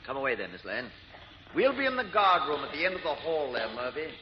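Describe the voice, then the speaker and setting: Scheming British sleuth, 1940s radio serial: clipped, patronising, widely inflected, on a noisy old recording. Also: male voice, a little high, huge pitch swings, fast, in a large hall, dull audio. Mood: smug, commanding. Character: suave, scheming British ex-detective, coolly commanding.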